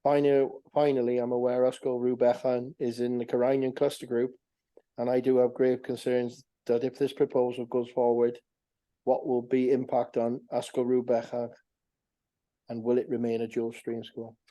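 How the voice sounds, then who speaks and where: Flat, dark-voiced man reading a statement. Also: mid-range voice, normal speed. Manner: reads steadily with small stumbles and restarts. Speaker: council committee member. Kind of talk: reading a prepared statement at a council meeting. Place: council meeting.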